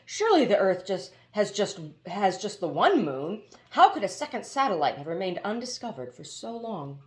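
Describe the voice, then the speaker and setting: A woman's voice: medium pitch, big pitch swings, normal speed, roomy sound, natural voice. A woman voicing a stubborn, questioning young boy in a storybook, protesting and sometimes stammering. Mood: puzzled. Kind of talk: storybook character voice.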